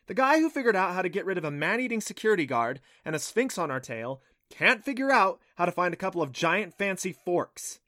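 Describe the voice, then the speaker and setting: A man voicing a bold, quick-witted young female adventurer with a brisk, challenging, certain manner in a fantasy story. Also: sits high, very animated, sharp tone, no echo, clean studio sound, voice pushed up, exaggerated voice.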